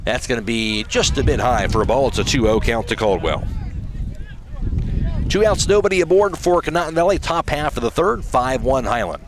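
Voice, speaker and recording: A man's voice: very animated, moderate pace. Man calling live baseball play-by-play. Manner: clipped, fragmentary call of each pitch. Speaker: radio play-by-play baseball announcer. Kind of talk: live sports play-by-play commentary. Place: outdoor ballpark broadcast.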